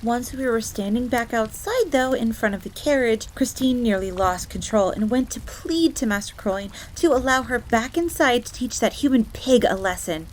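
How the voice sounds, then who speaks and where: A woman voicing an excitable, devoted fox maid who tells her story theatrically in the third person. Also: a little high, moderate pace, few pauses, a little hiss or hum, lightly acted, poor audio. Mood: devoted, proud. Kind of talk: in-character storytelling audio roleplay. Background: background ambience.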